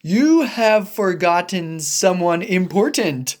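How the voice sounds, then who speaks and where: A woman voicing a big, gentle, worried elephant in a storybook read-aloud, speaking low and carefully. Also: expressive, slow. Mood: cautious.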